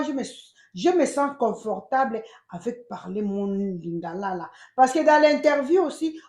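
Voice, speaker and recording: A woman with a Congolese accent giving emphatic, animated casual commentary as a channel host, switching between French and Lingala. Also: sits high, reverberant. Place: United States.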